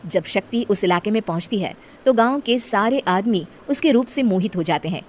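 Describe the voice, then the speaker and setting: A woman storyteller reading scripted narration for an animated tale. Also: medium pitch, moderate pace, slight background noise. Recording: music behind the voice.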